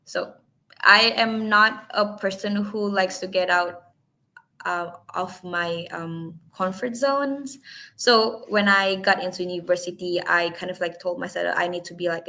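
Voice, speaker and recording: A woman's voice: lowish voice, gravelly, sharp tone. A young Indonesian woman, hesitant speech. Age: young adult. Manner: hesitant, many fillers and restarts. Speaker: guest speaker. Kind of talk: webinar talk.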